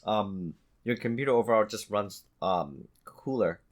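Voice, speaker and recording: A man's voice: on the low side, a little slow. A man chatting casually as a podcast co-host, informal and given to swearing. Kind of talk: casual chat.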